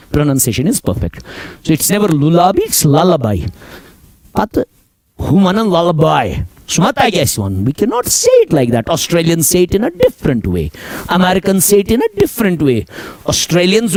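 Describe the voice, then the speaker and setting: A man with a Kashmiri accent teaching reasoning in class, going over each point slowly and again, switching between Hindi and English and calling his students beta. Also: a little high, darkish tone, no echo. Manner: slow, repetitive, mixes Hindi and English, addresses students as beta. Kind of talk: classroom lecture.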